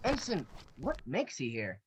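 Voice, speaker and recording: A woman playing a dutiful military lieutenant with urgent news in an amateur Shakespeare radio play, brisk and theatrical. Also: deep voice, wildly swooping pitch, a little hiss or hum, heavily acted. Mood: puzzled. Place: home, recorded over a video call. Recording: faint raspy video-call recording.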